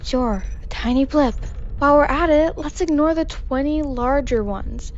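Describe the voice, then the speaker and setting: Lady doing a snarky ship engineer, high voice, fed up, slightly muffled recording. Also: normal speed, a little hiss or hum, natural voice. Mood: sarcastic. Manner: dry sarcasm. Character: sarcastic female ship engineer, sceptical and exasperated. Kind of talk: sci-fi comedy radio drama.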